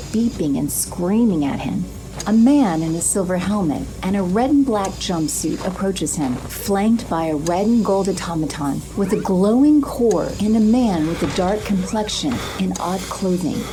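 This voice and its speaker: A woman's voice: fairly low pitch, noisy background. A woman giving dramatic scripted narration as the narrator of an audio drama.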